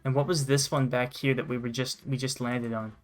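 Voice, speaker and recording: A man's voice: medium pitch, little pitch movement, brisk, soft background music. A man from Canada hosting a gaming show with casual, sweary gameplay commentary. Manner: swears freely.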